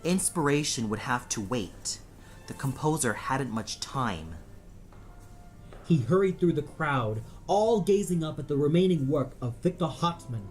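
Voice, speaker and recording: A man's voice: fairly high pitch, big pitch swings, unhurried, soft background music. A man narrating an audio play in a dramatic scripted style, solemn and theatrical.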